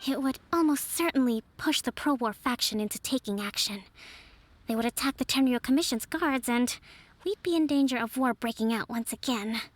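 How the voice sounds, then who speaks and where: A woman voicing a young, calm strategist who leads an island, measured and soft-spoken. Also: on the high side, lightly acted. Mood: analytical. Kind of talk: video game voice acting.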